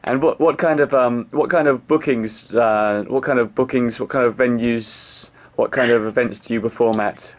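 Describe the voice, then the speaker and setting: A man's voice: medium pitch, fairly even intonation, moderate pace, few pauses. A man hosting a podcast, asking questions and giving short affirmations, often repeating his words as he goes. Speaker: podcast host. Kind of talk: interviewer.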